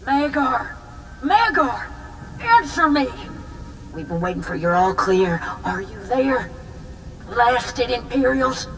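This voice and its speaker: Fierce resistance fighter, widely swinging voice. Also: female voice, a little high, very animated, takes it slowly, long pauses, distant mic, a little hiss or hum, exaggerated voice, poor audio. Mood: frantic, angry. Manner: blunt, fierce, hard-bitten. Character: tough, proud, battle-hardened older woman resistance fighter. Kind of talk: video game cutscene dialogue.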